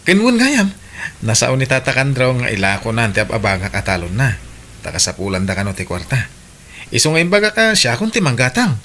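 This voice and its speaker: Casting: man as earnest country farmer dad, Ilocano accent, bright voice, very animated, audio drama. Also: mid-range voice, brisk, a little hiss or hum. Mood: earnest, hopeful. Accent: Ilocano Filipino. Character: hardworking provincial farmer and devoted father.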